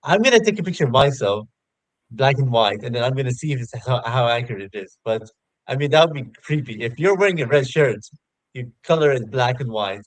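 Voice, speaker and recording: Man, medium pitch, casual chat, halting and rambling delivery, dry close recording. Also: animated, no echo, poor audio. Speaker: participant in a live online audio show.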